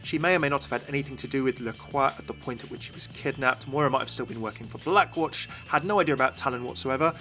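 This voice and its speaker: A man's voice: medium pitch, fast, hardly any pauses, pushed and bright, faint music behind. A man hosting a scripted game lore explainer, addressing viewers directly and with enthusiasm. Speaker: video host, game lore commentator.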